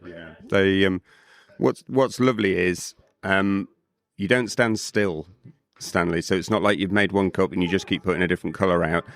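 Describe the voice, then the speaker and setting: A British man co-hosting a casual podcast interview at a trade show, his voice croaky after a night out, chatty and full of fillers and repeated words. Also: low-pitched, steady tone, normal speed, a bit bright. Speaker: podcast co-host. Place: busy trade show hall.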